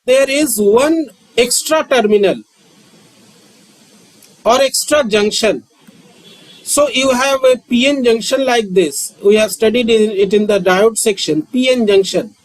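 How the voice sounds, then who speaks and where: A man, lecturing on physics and repeating key terms with frequent pauses. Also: extremely high pitch, poor audio. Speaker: physics teacher. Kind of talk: slow, repetitive classroom lecture.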